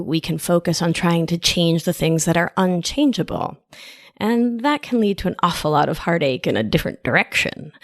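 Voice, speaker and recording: A woman's voice: mid-range voice, no echo, clean studio sound. A woman with an Argentine accent hosting a solo podcast as a life coach, talking in a playful, affectionate way with side remarks. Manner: lively, playful, affectionate, wanders into asides. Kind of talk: scripted solo podcast talk. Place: New York.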